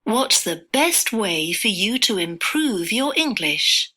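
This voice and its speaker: A woman interviewer slowly reading set questions and repeating each one clearly. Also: mid-range voice, very animated, bright, forceful tone.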